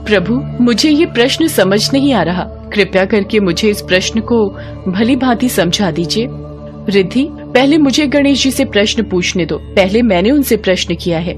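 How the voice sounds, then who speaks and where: A woman plays an eager young goddess from an animated devotional tale. She speaks politely and earnestly with lively pitch, music behind her. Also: moderate pace, close to an everyday voice. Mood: eager, insistent. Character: young goddess sisters, respectful and eager pupils. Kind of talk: animated devotional story dialogue.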